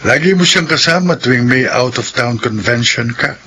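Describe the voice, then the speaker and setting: An actor playing a concerned, probing middle-aged father in radio drama style, speaking in an earnest, deliberate way. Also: medium pitch, background noise, natural voice. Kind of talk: Filipino radio drama acting.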